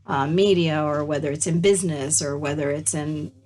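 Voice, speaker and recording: A woman's voice: sits low, normal speed, a little mellow, slight background noise. A woman hosting an interview, talking in a chatty, hesitant way full of fillers. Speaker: interview host. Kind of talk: conversational interview.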